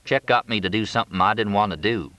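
A man's voice: fairly low pitch, fast talker, bright, forceful tone, no echo. Man doing a wry, slangy young working guy. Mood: uneasy. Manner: folksy, slangy talk.

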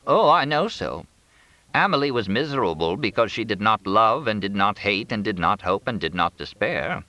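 A man's voice: on the low side, sing-song, moderate pace, bright, forceful tone, dry recording, exaggerated voice. A man voicing a grim, resigned old woman, a grieving mother, in an audiobook story. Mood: grim, certain.

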